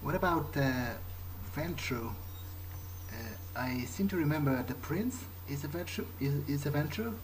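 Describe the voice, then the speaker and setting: A man roleplaying in character through a video game, asking questions in a halting, thoughtful, conversational way. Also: fairly high pitch, animated, noisy background. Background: game music.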